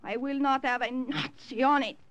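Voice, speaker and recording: A woman's voice: sits high, fairly even intonation, normal speed, fairly bright tone, dull audio. An actress playing a fiery, defiant French farm woman with a French accent in an old-time radio drama. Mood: indignant, defiant. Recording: old radio recording with music.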